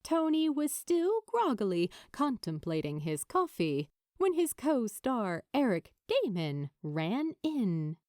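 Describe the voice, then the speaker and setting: Casting: female fiction narrator, unhurried, highly animated intonation, storytelling delivery, recorded dry and close. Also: no room sound. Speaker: audiobook narrator. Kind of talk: expressive scripted fiction narration.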